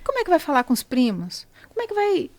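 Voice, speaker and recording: A middle-aged Brazilian woman speaking Brazilian Portuguese as a podcast guest, explaining things deliberately and stressing key words. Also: high-pitched, huge pitch swings, no room sound. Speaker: podcast guest, consular service provider. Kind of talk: conversational interview, explaining.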